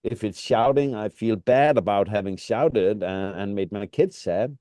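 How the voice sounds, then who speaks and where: A man's voice: on the low side, a little slow, warm tone, no room sound. A middle-aged man, a native Danish speaker with a Danish accent, co-hosting a casual reflective conversation and often searching for words. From Denmark. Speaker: podcast co-host.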